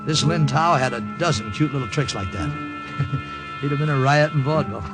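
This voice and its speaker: An actor playing a jokey, excitable American lieutenant telling his war adventure in an old radio drama. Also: mid-range voice, moderate pace, loud music behind the voice, close to an everyday voice. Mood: wry narrating. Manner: jokey, conversational. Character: wisecracking male American lieutenant, excitable storyteller. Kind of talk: 1940s-50s radio drama acting and narration. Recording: vintage radio recording.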